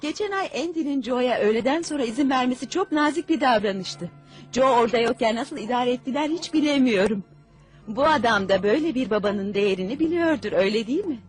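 A woman's voice: a little high, animated, fairly quick, faint music behind, lightly acted. A woman voicing a chatty, gushing lady customer in a Turkish cartoon dub.

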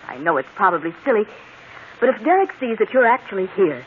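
Tinny radio-drama society woman, animated actress. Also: medium pitch, quick pace, natural voice. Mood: gracious. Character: genteel young society woman, gracious but resolute. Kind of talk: 1940s radio acting. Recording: tinny, hissy old radio broadcast.

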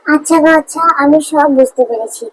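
A woman voicing a caring, excitable village housewife in a cartoon fairy tale, with an expressive, fussing manner. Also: high-pitched, a little fast, natural voice, low-quality recording.

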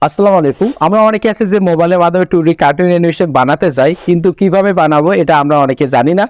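Man, channel host, extremely quick delivery, slightly high pitch, dry close recording. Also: no room sound. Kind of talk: scripted video introduction to viewers.

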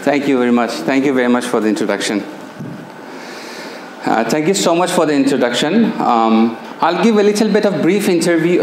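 A man in his thirties with a Pakistani accent, whose first language is Persian, giving a chatty live seminar talk on a handheld mic in a room with a projector. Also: medium pitch. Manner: chatty, engaging, asks audience questions. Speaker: NLP coach and trainer. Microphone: handheld microphone. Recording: echoey room, faint hum.

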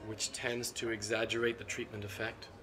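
A middle-aged man, a cardiologist being interviewed at a conference, talks in a measured, analytical way with frequent ums and restarts. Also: lowish voice, level tone, a crowd in the background. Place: seated at a conference booth indoors. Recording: constant conference hall ambience.

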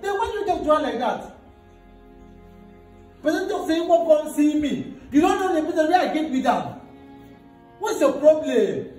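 A man's voice: very high voice, big pitch swings, normal speed, pauses often, a little mellow. A man playing a stern older brother who scolds his sister, then turns apologetic. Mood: scolding. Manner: scolding, mixes Nigerian Pidgin and English. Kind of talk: comedy skit acting. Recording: echoey room, distant mic, music.

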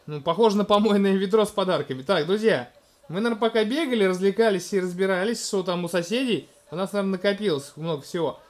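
A man in his thirties casually commenting on a video game in a gentle, coaxing way, talking to a small child beside him at home. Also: sits high, fast talker. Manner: gentle, coaxing, talks to the child, narrates what is on screen. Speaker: let's-play host playing with his toddler.

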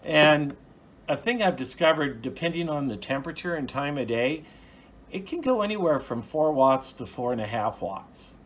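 A man's voice: fairly high pitch, expressive, normal speed. A man giving an informal, hands-on explanation as a hobbyist video host, plain-spoken and methodical, recorded on a phone at home. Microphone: phone, off camera.

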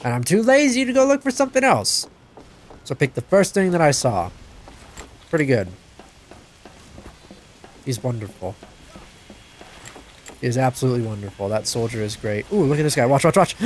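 A young man giving casual gameplay commentary, excitable, yelping and laughing at the action, his voice a little stuffed up. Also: mid-range voice, moderate pace, long pauses, slight background noise. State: a bit stuffed up from crying. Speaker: gaming channel host.

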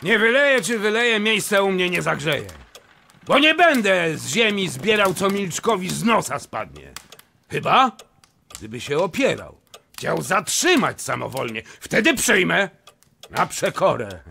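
An actor playing a blustering, hot-tempered old nobleman in a theatrical verse comedy, muttering and then exclaiming loudly, with a gravelly voice. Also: high voice, sing-song, measured pace, slight background noise, heavily acted. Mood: stubborn, commanding. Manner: exclamatory, swinging from muttering to shouting.

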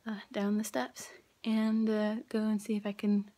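A woman's voice: medium pitch, completely flat delivery, unhurried, no echo. A young woman from Florida vlogging casually on a phone held at arm's length, chatty and rambling, sometimes dropping to a whisper. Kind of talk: casual travel vlog. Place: airports, plane and car, in and out of doors. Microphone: phone camera at arm's length. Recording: loud background noise on phone mic. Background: loud travel noise, vehicles.

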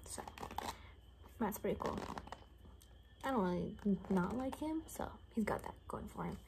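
A young woman speaking in a soft, breathy whisper, improvising a casual, rambling ASMR roleplay with a gently sardonic manner. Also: medium pitch, lively intonation, measured pace, rough recording. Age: young adult. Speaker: ASMR creator playing a caretaker. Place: small home bedroom, indoors. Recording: faint background room noise.

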